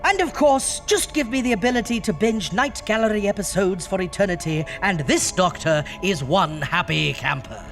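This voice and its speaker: A high-pitched, pompous undead horror host. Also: male voice, expressive, moderate pace, creaky, few pauses, music in the background. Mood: haughty, scornful. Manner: theatrical, pompous, alliterative. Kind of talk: campy horror host radio acting.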